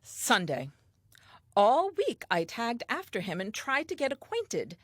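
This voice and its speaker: An actress playing a talkative, eager and self-assured first woman telling her diary in a comic audio play, earnest and expressive. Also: on the high side, unhurried, dry recording, studio quality, heavily acted. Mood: hopeful, wistful.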